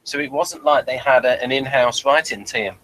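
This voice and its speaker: A man's voice: mid-range voice, moderate pace, fairly bright tone, rough recording. A man hosting a podcast, explaining things in casual conversation. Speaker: podcast host reviewing TV shows. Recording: noticeable background noise.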